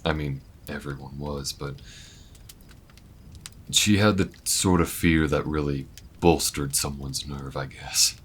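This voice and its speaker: Very deep male voice, acted by a man, laid-back alien gunslinger, folksy, reflective, audio roleplay, faint rumbling noise. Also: steady tone, takes it slowly. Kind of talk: slow-burn audio roleplay, intimate monologue to listener.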